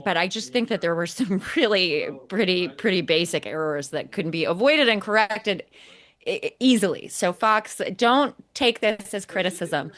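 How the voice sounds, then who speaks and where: A woman's voice: fairly low pitch, a bit bright. A woman co-hosting a motorsport podcast, giving emphatic, critical commentary and explaining point by point. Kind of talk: opinionated podcast commentary on race broadcasts.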